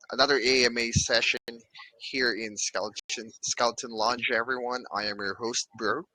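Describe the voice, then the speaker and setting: A man hosting an online interview, asking deliberate questions. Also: on the low side, sharp tone, rough recording. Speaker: host.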